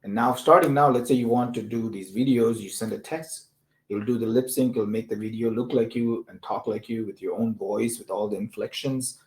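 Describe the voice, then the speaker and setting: A man's voice: on the low side, steady tone, normal speed. A man hosting a casual discussion, explaining ideas in a loosely structured, conversational way and often checking in with the listener. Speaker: host of a tech discussion show. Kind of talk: casual discussion of technology and business use cases.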